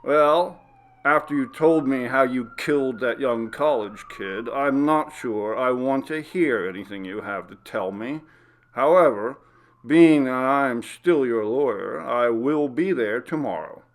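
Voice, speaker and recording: A man's voice: mid-range voice, a little slow, close to an everyday voice. A man voicing a weary, reluctant defence lawyer who speaks brusquely in a story reading. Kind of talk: audiobook character acting.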